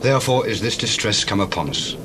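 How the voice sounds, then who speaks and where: An actor playing an anxious, guilt-troubled brother in a scripture drama, declaiming earnestly in a raspy voice. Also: male voice, fairly low pitch, expressive, brisk, background noise, lightly acted. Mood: remorseful. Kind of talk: dramatized scripture reading in archaic English.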